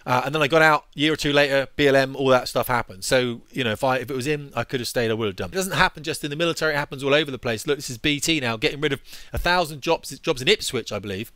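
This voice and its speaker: Man in his forties, headset mic, quick bright ranting delivery, dry close sound. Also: medium pitch, no echo. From United Kingdom. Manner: indignant, ranting, emphatic. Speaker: YouTube commentator, former fighter pilot. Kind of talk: opinionated commentary over on-screen articles.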